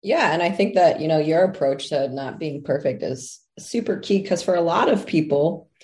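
A woman guest in a casual conversation, explaining in a clear, measured way. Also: sits low, a little mellow.

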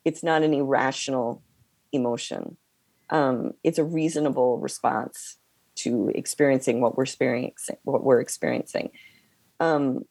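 A woman co-hosting a podcast, hoarse from losing her voice, talking in a halting, hesitant way with many pauses. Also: extremely low pitch, warm tone, dry recording. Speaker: podcast co-host. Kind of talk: casual conversation.

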